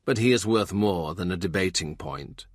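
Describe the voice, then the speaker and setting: A cold, quietly scornful statesman, voiced by a man reading aloud. He speaks in a soft, low, amused murmur, deep and hushed. The recording is dry and close. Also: normal speed, no room sound.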